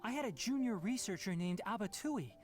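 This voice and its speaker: An actor playing a composed young scholar who speaks in a measured, polite, matter-of-fact way. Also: male voice, high voice, lively intonation, faint music behind. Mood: calm. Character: calm, composed young male forest scholar.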